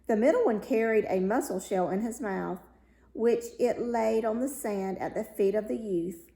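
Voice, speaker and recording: A woman reading a fairy tale aloud as narrator, in a measured, expressive storytelling manner. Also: a little high, gravelly. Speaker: story reader. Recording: roomy, echoing sound.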